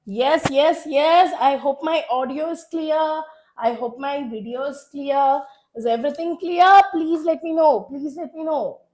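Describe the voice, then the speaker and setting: A woman with a South Indian accent teaching a live online exam revision class, hoarse from a cold, urging students on and repeating phrases for emphasis. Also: high-pitched, unhurried, darkish tone, rough recording. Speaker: chemistry teacher.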